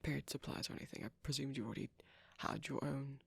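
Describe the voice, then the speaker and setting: A woman voicing a shy, gentle young male vampire boyfriend in an ASMR roleplay, speaking breathily and hesitantly with small stammers. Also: very deep voice, steady tone, quick pace, gravelly, husky, no room sound, heavily acted. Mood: shy, caring. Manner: hesitant, stammering, softly whispery. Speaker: audio roleplay performer.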